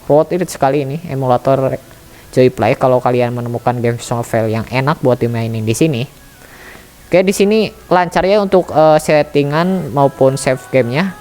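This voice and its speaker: A woman's voice: a bass voice, moderate pace, a little mellow, soft background music. A woman giving a casual, chatty step-by-step tutorial, talking straight to her viewers. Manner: chatty, addresses viewers directly. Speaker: tutorial channel admin.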